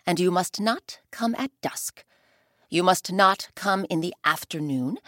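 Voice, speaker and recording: A woman doing a bold, cheeky messenger from a folk tale. The delivery is sing-song and perky, slow, with pitch rising and falling a lot. The recording is close and dry. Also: dry recording.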